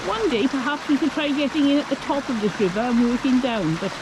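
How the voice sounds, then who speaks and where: A woman's voice: high voice, brisk, heavy background noise. A woman giving casual, curious commentary while searching a stream bank, asking viewers what they think. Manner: curious, musing, asks viewers questions. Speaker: vlog co-host. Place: outdoors by a woodland stream.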